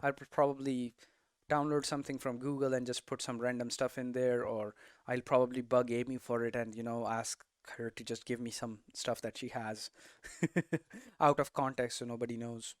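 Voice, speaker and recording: A man's voice: medium pitch, flat delivery, moderate pace, no room sound, studio quality. A man giving a casual, unscripted tutorial as a game developer, thinking aloud and often checking in with rhetorical questions. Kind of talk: casual, unscripted explanatory talk.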